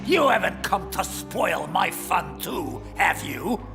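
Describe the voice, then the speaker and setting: Gloating theatrical game bad guy, creaky, all over the place in pitch, music blaring. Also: big pitch swings, slow pace, exaggerated voice. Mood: taunting glee. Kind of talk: dramatic video game voice acting.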